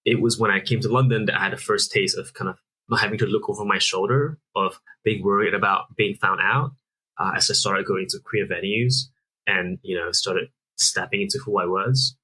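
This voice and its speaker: A man's voice: on the low side, moderate pace, pushed and bright, poor audio. A man in his thirties from Singapore, a founder answering interview questions earnestly, explaining at length with frequent restarts. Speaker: app founder guest.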